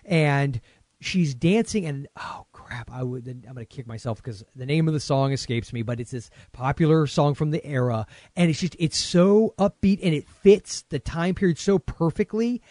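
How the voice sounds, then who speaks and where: A man's voice: on the high side, moderate pace, a little mellow, dry recording, studio quality. A man hosting a podcast, rambling and joking in casual chat. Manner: rambling and jokey, with many restarts. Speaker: podcast host.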